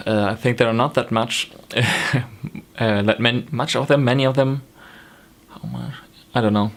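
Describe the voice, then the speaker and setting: A man's voice: mid-range voice, lively intonation, unhurried, vocal fry. A young man from Germany with a German accent, chatting to camera in a rambling way, with many ums and self-corrections, from a small home room. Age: young adult. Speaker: knitting vlogger. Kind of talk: casual chat to camera. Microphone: camera in front, close framing.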